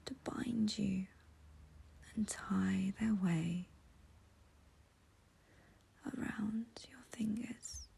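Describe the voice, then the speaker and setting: Very slow, breathy whispering woman. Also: medium pitch. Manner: soothing, slow, gentle, intimate. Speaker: guided meditation teacher. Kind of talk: guided meditation, soft near-whisper.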